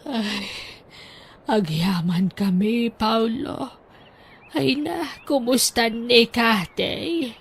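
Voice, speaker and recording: A woman's voice: a little high, very slow, slightly rough, exaggerated voice. A woman voicing a weary older mother in an audio drama, sighing as she talks.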